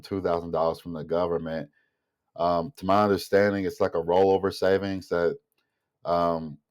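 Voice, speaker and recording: A man's voice: low voice, a little mellow. A man giving a casual, rambling opinion monologue as a vlogger, sounding nasal and congested from a mild illness. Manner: rambling, thinking aloud.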